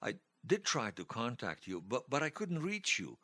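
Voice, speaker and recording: An actor playing a gentle, wistful older widower in an audio drama, teasing and tender, with a hesitant, stammering delivery. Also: male voice, mid-range voice, big pitch swings, normal speed, no echo. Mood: nervous, regretful. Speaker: interviewee telling his life story. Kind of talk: audio drama acting, personal reminiscence. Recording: music behind the voice.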